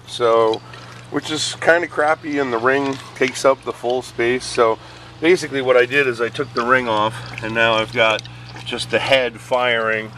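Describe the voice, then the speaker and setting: A man's voice: medium pitch, fairly bright tone, slight background noise, poor audio. A middle-aged man recording outdoors, casually explaining a hydroponic bucket setup with lots of ums and uhs as he films. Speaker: hydroponics reviewer. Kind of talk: casual how-to walkthrough. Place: outdoors, beside buckets on pavement.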